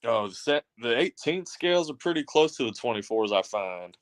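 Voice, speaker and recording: A man's voice: medium pitch, sing-song, normal speed, no echo. A man in casual chat, talking with enthusiasm about his hobby.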